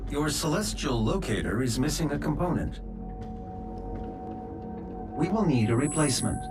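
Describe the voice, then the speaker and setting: A man's voice: fairly high pitch, animated, normal speed, natural voice. Man as a formal, precise spaceship computer. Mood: helpful, formal. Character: dutiful male artificial intelligence aboard a spaceship. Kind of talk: video game character dialogue. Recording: loud music behind the voice.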